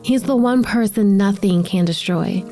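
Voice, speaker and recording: Woman playing casual, mellow-voiced teenage daughter. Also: medium pitch, measured pace, faint music behind, natural voice. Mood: fond, reflective. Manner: casual, youthful. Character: casual, playful, slightly sulky teenage daughter. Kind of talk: naturalistic screen drama acting with reflective narration.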